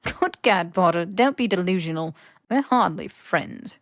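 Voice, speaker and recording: A woman's voice: lowish voice, expressive, a little slow, dry recording, studio quality. A woman voicing a haughty, snippy young male wizard with sneering, clipped, posh phrasing in an audiobook. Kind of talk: audiobook character voice.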